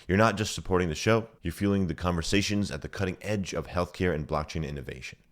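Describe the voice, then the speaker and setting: A man's voice: low voice, quick pace, no echo. A man hosting a podcast, reading an upbeat intro and asking friendly interview questions. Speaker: podcast host.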